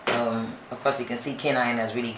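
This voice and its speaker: A man's voice: medium pitch, flat delivery. A man hosting a radio interview, playful and teasing with his guest. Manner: playful, teasing, quick to laugh. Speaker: radio show host. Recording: echoey room, distant mic, background noise.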